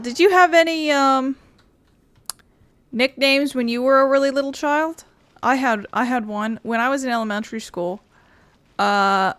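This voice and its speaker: A woman's voice: fairly high pitch, fairly bright tone. A woman doing casual, playful, rambling commentary as she plays a video game. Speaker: gaming video host.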